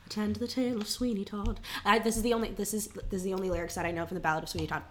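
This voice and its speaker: A young woman co-hosting a comedy film podcast, stuffy from a cold, playful and quick to joke. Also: medium pitch, steady tone, fairly bright tone, slight background noise. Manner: playful, laughs often, jokey. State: congested from a cold. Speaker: podcast co-host. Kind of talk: casual banter.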